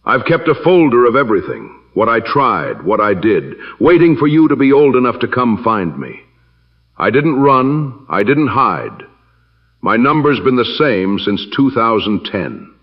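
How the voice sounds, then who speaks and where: A man voicing a hurt, steadfast estranged father in an audio story, earnest, measured and emphatic. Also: mid-range voice, very animated, dull audio, pitched above the performer's own voice. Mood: defensive, insistent. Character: wounded, steadfast estranged father defending himself.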